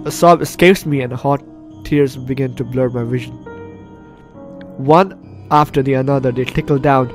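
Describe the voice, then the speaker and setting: A man doing gameplay commentary, reading story text aloud dramatically and stumbling over words now and then. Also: medium pitch. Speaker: gaming video host. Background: game music.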